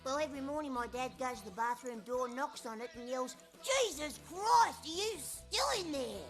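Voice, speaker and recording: A woman's voice: high-pitched, normal speed, music in the background. A woman playing a cheeky, blunt, foul-mouthed young boy with an Australian accent in a film comedy-drama. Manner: deadpan, frank, innocent.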